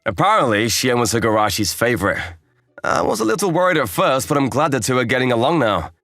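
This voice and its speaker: A man playing a calm, kindly young programmer and mentor in an anime-style manga dub, reassuring and easygoing. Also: mid-range voice, fast talker, no room sound, studio quality. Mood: narrating, mildly puzzled.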